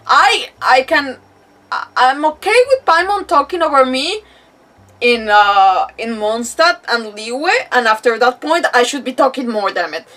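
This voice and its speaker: A woman's voice: high voice, a bit bright. A woman livestreaming a game with casual, rambling, playful commentary and mild swearing, on a slightly distant microphone. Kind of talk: casual live gaming commentary.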